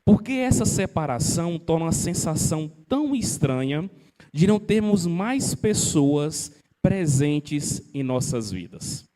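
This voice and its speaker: Male moderator, mellow tone, reads viewer questions to the panel. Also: on the high side, sing-song, measured pace. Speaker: live show moderator.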